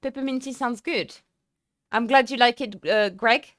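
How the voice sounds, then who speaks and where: A young woman streamer chatting casually and excitedly with viewers, full of fillers and restarts. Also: on the high side, normal speed, no echo, studio quality. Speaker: sim racing streamer. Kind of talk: casual live-stream chat.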